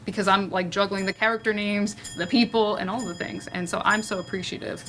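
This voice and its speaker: A woman's voice: mid-range voice, steady tone, brisk, a bit bright, low-quality recording. A woman speaking conversationally as a moderator and theater director in a panel discussion, affirming others and inviting their input. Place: live panel event in a room. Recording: noticeable room noise.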